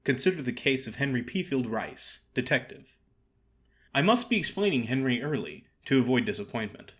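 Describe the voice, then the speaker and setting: A man reading a story aloud as theatrical audiobook narration, with a wry, expressive storytelling manner. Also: mid-range voice, normal speed. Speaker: story reader.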